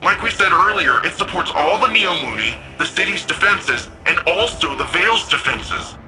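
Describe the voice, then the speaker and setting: A man's voice: high voice, lively intonation, normal speed, sharp tone, soft background music, full character voice, low-quality recording. A man playing a brash, upbeat young guardian of a futuristic city over radio comms in a video game, talking in breezy slang. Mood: confident.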